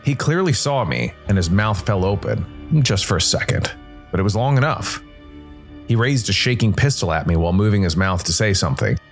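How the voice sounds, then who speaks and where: A man narrating a first-person noir story as a ghostly jazz musician investigator, with a dramatic, deliberate delivery and weighted pauses. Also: lowish voice, animated, soft background music. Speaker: solo storytelling podcast narrator.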